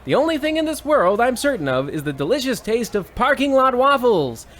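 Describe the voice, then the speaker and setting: High-pitched, excitable old-time baseball announcer. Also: male voice, brisk, heavily acted. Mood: folksy showmanship. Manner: theatrical, exclamatory play-by-play patter. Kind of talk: 1940s radio sports commentary parody.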